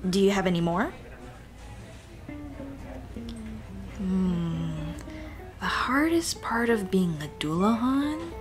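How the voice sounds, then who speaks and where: A woman voicing a cheerful headless Dullahan on a date in a scripted ASMR roleplay, chatting playfully and directly to the listener. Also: a little high. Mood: amused, open. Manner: playful, animated, punning, speaking directly to the listener.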